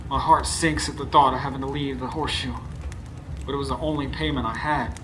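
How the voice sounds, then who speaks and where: A man's voice: medium pitch, steady tone, a little fast, natural voice. A man playing a wry, uneasy young working man who narrates his own story in a live radio drama. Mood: wry storytelling. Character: narrator hero, nervous, skeptical, unschooled young working man. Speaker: narrator and lead character. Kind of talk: first-person radio drama narration and dialogue. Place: stage before a live studio audience. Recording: live stage recording with clear background noise.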